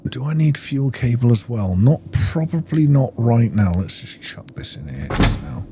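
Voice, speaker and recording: A man's voice: mid-range voice, sing-song, moderate pace, background noise. A man giving casual gameplay commentary, thinking aloud in an informal way. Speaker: gaming video host.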